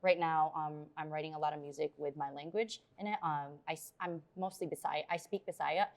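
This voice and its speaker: Casting: young singer from the Philippines, low warm-toned voice, friendly, hesitant, lots of ums. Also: female voice, steady tone, a little fast. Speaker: singer, interview guest. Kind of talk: casual interview answers.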